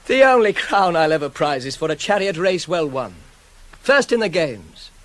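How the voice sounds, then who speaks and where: An actor playing a proud, self-controlled young prince wronged by his father, in a formal, measured, declamatory classical tragedy style for radio. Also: a little high, sing-song, no room sound. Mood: indignant, proud.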